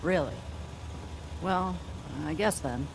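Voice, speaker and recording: An ordinary, folksy male customer from a video game. His voice is a little high and casual, with clear noise in the background. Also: slow. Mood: skeptical. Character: wary but easily won-over male vault customer. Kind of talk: scripted video game character dialogue.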